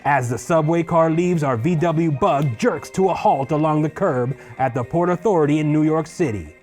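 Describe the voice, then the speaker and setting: A man reading screenplay stage directions in a husky voice, as scripted narration at a table read. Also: on the high side, moderate pace, a little mellow, faint music behind.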